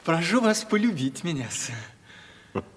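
An actor playing a naive, dim and obsequious young provincial idler in a Russian radio comedy play. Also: male voice, fairly high pitch, sing-song, takes it slowly, husky, exaggerated voice. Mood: meek, plaintive. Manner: obsequious, deferential. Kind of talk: 1970s Russian radio stage drama. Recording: long hall reverb.

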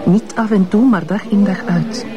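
An actress playing a composed, bitter middle-aged ex-wife of an abusive notable, with a Flemish accent, in a television crime drama. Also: female voice, medium pitch, moderate pace, highs cut off, over music, natural voice.

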